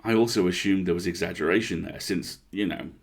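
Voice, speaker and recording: A man narrating a horror story in the first person, conversational and deliberate, with dramatic pauses. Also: deep voice, creaky, husky.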